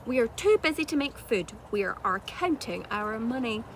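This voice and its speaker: A woman's voice: on the high side, animated, normal speed. A woman voicing harsh, cruel aunts who bark orders in a children's storybook. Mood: angry. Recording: clearly noisy room recording.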